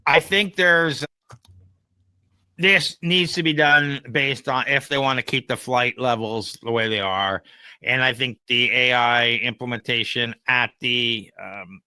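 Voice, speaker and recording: A man talks as a podcast co-host in a casual, opinionated way. His voice is bright and forceful with a smooth, clean tone. He explains things conversationally with examples, and the sound is dry. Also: medium pitch, fairly even intonation, unhurried, dry recording, poor audio.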